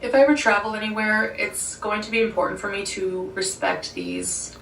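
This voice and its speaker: A young woman chats to her viewers in a loose, rambling way, tossing in fillers and little laughs. Her mid-pitched voice bounces around a hard room and sits a step back from the microphone. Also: wildly swooping pitch, normal speed, a bit bright, roomy sound, recorded from a distance, slight background noise, rough recording. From Ottawa, Canada. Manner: chatty, rambling, with frequent "like" and small laughs. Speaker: vlogger. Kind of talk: sit-down talk vlog.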